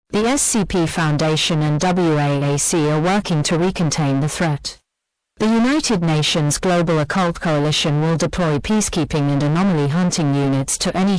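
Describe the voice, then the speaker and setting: Female emergency alert announcer, acted, low-pitched and official, measured scripted delivery for an audio drama, very dry recording. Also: no echo, close to an everyday voice, low-quality recording.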